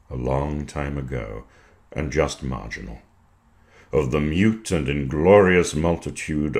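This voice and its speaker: A man reading poetry in a measured, dramatic style, with deliberate, precise phrasing and weighted pauses. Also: very deep voice, vocal fry, darkish tone. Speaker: poetry reader.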